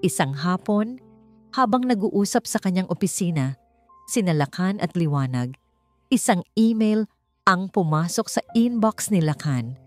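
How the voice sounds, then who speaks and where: Woman telling a dramatic love story, lowish voice, short measured phrases, soft music behind her. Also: expressive. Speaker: story narrator. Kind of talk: dramatic scripted romance narration in Tagalog with English dialogue. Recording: music under the voice. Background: background music.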